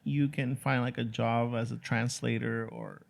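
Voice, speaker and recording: A man's voice: medium pitch, flat delivery, creaky. A man whose first language is Spanish, hosting a podcast in a laid-back, casual way. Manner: laid-back, drawn-out "dude" and "you know" fillers. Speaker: podcast host. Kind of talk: casual chat.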